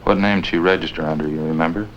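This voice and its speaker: Male actor as courteous American sergeant, 1950s police drama. Low, direct, everyday delivery, muffled film audio. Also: steady tone, racing, natural voice. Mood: plain, inquiring. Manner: plain, direct questioning. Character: courteous, tired male police detective partner. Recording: dull, noisy old film soundtrack.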